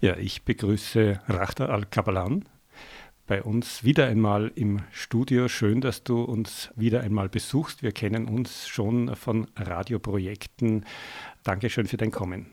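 Austrian guy hosting a radio show in the studio, warm mellow voice, lots of ums when he asks stuff. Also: fairly low pitch, unhurried. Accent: Austrian German. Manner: hesitant with many fillers when asking questions. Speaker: radio host. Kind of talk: community radio studio interview.

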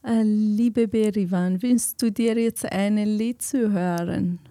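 A woman's voice: mid-range voice, fairly even intonation, slow pace, dark tone, dry recording. A woman hosting a community radio show, asking questions in a friendly, encouraging way. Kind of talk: casual radio chat.

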